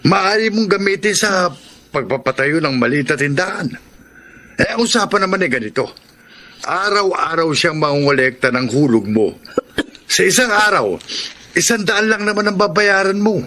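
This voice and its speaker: Pushy, high, bright-voiced older brother. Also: male voice, lively intonation, moderate pace, slight background noise. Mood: confiding, coaxing. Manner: insistent, coaxing. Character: pushy, persuasive older brother pitching a loan. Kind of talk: Tagalog radio drama acting.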